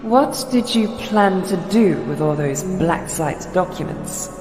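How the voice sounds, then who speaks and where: A woman playing a detached research doctor questioning a captive in a formal, measured way, in a fan comic dub. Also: lowish voice, animated. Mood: detached, probing. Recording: echoey, long reverb, loud music behind. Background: music.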